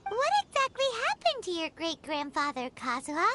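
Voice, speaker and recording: A woman voicing a small, curious, chatty childlike fairy companion in a video game, with loud tinkling music behind. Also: very high voice, very animated, normal speed, heavy background noise.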